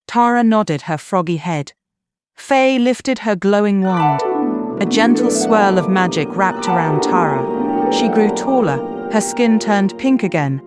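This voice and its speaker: A woman narrating a children's bedtime story in an expressive, scripted way. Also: mid-range voice, measured pace, over loud music.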